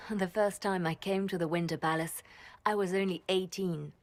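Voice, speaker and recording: A woman playing a composed, compassionate high priestess in a video game, speaking in a gentle, measured way. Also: steady tone, natural voice. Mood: wistful. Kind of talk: video game character acting.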